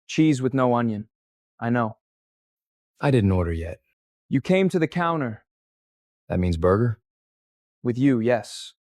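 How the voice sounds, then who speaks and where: A man's voice: medium pitch, big pitch swings, measured pace, long pauses, darkish tone, no echo. A man voicing characters in an audio drama, trading dry, teasing lines.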